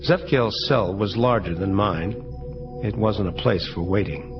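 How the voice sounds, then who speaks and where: Low-voiced noir narrator played by an actor. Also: male voice, unhurried, lo-fi sound, over music, lightly acted. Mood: reflective. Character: world-weary male angel detective recounting his case. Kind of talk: hardboiled first-person audio drama narration.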